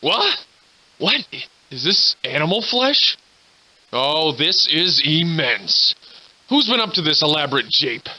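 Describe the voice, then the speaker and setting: Theatrical, surly old-time drunken scoundrel. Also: male voice, on the high side, very animated, takes it slowly, long pauses, sharp tone, heavily acted, rough recording. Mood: bewildered. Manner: florid, old-fashioned, theatrical diction. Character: surly hard-drinking old-time scoundrel, grandiloquent survivor. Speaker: video game protagonist voice actor. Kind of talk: theatrical video game character dialogue.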